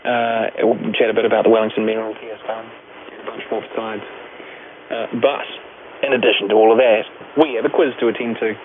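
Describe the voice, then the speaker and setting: A man's voice: fairly low pitch, little pitch movement, a little fast, slight background noise. A man with a New Zealand accent hosting a radio talk show in a chatty, informal, musing way. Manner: conversational, musing aloud with tag questions. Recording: thin narrow-band shortwave radio.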